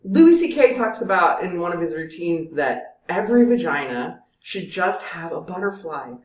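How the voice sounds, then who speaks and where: A woman guest in casual chat, animated and quick to laugh. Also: fairly low pitch, far from the mic. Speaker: guest, regular listener. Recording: echoey, set back from the mic.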